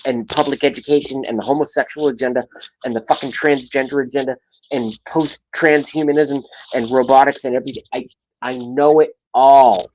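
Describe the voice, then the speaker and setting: A man in his late thirties holds court on a casual livestream. His middle-pitched voice rambles from one thought to the next, loaded with profanity. His loudness jumps up and drops away as he talks. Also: muffled recording. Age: 30s. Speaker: livestream show host.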